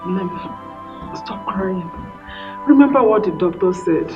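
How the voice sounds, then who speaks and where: A woman's voice: mid-range voice, lively intonation, measured pace, lightly acted. An actress with a Nigerian accent playing an anxious mother who pleads with and consoles her injured daughter in a film melodrama. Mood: consoling, urgent. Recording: echoey, distant, dull, loud music behind.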